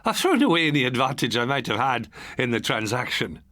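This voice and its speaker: A man voicing a genial, crisp middle-aged Highland Scottish glass seller in an audiobook story. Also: sing-song, a little fast, pushed and bright, dry recording. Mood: rueful. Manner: crisp, affable salesman talk.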